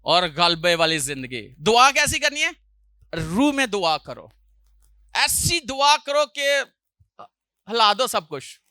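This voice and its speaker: A male pastor, preaching a sermon with a sore throat, often clearing it and rising loudly on hallelujah. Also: high-pitched, sing-song, takes it slowly, long pauses, no room sound, studio quality. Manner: preaching with loud rises on hallelujah and jokey asides.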